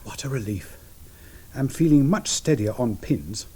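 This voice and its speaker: An actor playing a mild, affectionate Edwardian gentleman bridegroom in a period radio drama, diffident and whimsical as he woos. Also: medium pitch, expressive, unhurried, husky. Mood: mild, practical.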